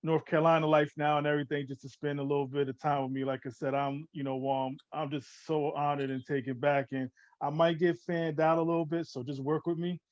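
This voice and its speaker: An eager New Jersey man hosts a casual chat over a video call, rambling warmly through his questions with plenty of "like I said." His voice is a little high for a man. The sound is dull and close, with no room around it. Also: flat delivery, a little fast, few pauses, no room sound. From New Jersey, born in Newark, raised in Orange. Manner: eager, repeats "like I said", laughs often. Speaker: interview host. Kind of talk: casual interview.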